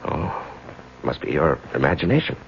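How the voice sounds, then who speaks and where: Radio drama lead: brooding, anxious husband, middle-aged, wide-swinging delivery, muffled vintage broadcast with music behind. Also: male voice, rumbling low, expressive, moderate pace, gravelly, slight background noise, exaggerated voice. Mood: evasive, jovial. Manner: hesitant, brooding. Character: haunted, anxious middle-aged husband telling his story. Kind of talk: 1970s radio drama acting, first-person narration.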